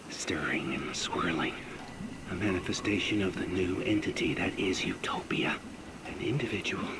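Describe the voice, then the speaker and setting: Very deep, doomed scientist, breathy and haunted. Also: male voice, heavily acted. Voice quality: breathy, often dropping into whisper. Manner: slow, halting, brooding. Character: doomed male scientist, awed and fearful, slowly transforming. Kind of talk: scripted audio log monologue, dramatic game acting. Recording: heavy noise over the audio log.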